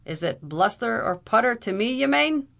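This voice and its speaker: A woman narrator plays a furious Irish captain from an old adventure tale, spoiling for a duel. He bellows with bombastic indignation, pushing loud and high, with a gravelly creak. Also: measured pace, heavily acted. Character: fierce, blustering Irish army captain, hot for duels.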